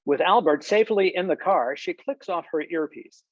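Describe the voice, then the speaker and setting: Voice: mid-range voice, normal speed, no room sound. Dry, dull-sounding screenplay narrator. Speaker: stage-direction narrator. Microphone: video call.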